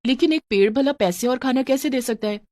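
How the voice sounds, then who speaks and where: A woman's voice: high-pitched, fairly quick, darkish tone, dry recording, voice pushed up, lightly acted. Woman doing a sweet meek young bahu in a story cartoon, earnest, close dry sound. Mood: surprised. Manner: pleading, earnest. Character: meek, sweet young daughter-in-law, poor and hopeful.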